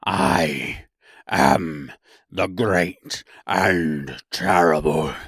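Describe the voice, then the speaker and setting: Storybook monster: enormous, imperious, gruff growl, very low and drawn out. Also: male voice, a bit bright, dry recording, studio quality, heavily acted. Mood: imperious, booming. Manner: slow, drawn-out, commanding. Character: huge terrible male beast, imperious and menacing.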